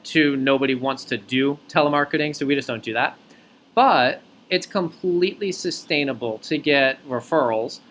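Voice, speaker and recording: A man working as a recruiter on a phone interview, explaining things clearly point by point in an articulate way. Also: mid-range voice, normal speed, fairly bright tone, a little hiss or hum. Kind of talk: explanatory job interview talk.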